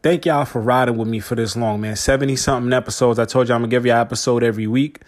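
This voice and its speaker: A man's voice: medium pitch, steady tone, very fast. A man in his forties hosting a solo podcast, talking casually and slangily straight to his listeners. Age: 40s. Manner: laid-back, slangy, talks straight to listeners. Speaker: podcast host.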